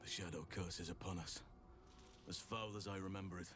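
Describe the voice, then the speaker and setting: A man's voice: sits low, steady tone, brisk, noisy background, close to an everyday voice. A man playing a grave, reverent druid with poetic speech in a video game. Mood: solemn, reverent. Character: solemn, reverent nature-priest druid man. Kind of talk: video game character acting. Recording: loud music behind the voice.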